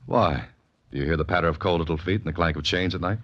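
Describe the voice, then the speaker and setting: An actor playing a laconic, wisecracking writer-adventurer with an American accent, narrating a 1940s radio mystery. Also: male voice, low-pitched, fast, husky. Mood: dry, bantering. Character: dry, wry writer and amateur adventurer, male narrator. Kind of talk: 1940s radio mystery acting, hard-boiled first-person narration. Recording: muffled 1940s radio recording with music.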